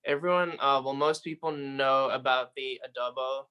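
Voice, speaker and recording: A man's voice: mid-range voice, fairly even intonation, normal speed, clear, resonant tone, lo-fi sound. A man from the Philippines, a podcast guest talking in an easygoing, rambling way over a remote call. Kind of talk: casual interview chat. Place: home in quarantine, remote.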